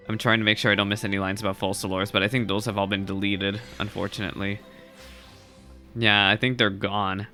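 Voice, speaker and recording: A man hosts a reaction video in a casual, chatty style. His voice is fairly low yet very bright and forward, with faint music underneath.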